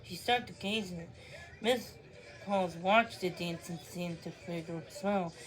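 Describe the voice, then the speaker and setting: A woman reads a children's storybook aloud, halting now and then and restarting words. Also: on the low side. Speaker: storybook reader. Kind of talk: read-along storytelling of a children's book.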